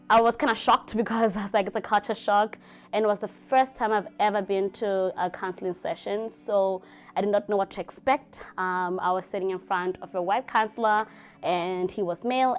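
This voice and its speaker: A woman with a smooth, resonant voice talks in an interview. She tells her story in a lively way at a middle pitch. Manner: animated storytelling, laughs between words. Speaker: PhD student in counseling. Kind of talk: interview testimony.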